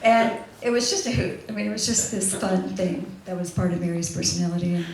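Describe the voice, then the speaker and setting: A woman's voice: fairly low pitch, quick pace, crowd noise. A woman telling informal anecdotes to a memorial gathering, chuckling as she reminisces. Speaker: speaker sharing memories at a memorial. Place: echoey back room at a gathering. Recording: very echoey room, clearly noisy.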